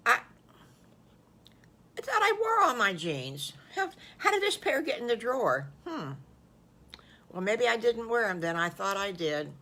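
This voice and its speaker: Storybook wolf pup, six, breathless and curious, put on by an older woman; high, bright. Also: very animated, exaggerated voice. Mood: puzzled. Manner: wide-eyed, rushed, full of questions. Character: eager little boy wolf, six years old.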